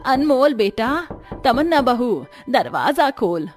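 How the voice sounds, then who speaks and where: A woman voicing a scheming, possessive middle-aged mother-in-law in a melodramatic animated family story, theatrical and pleading. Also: high voice, slow pace, soft background music, pitched above the performer's own voice, full character voice. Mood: urgent, calling out. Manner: flustered stammering excuses, theatrical pleading.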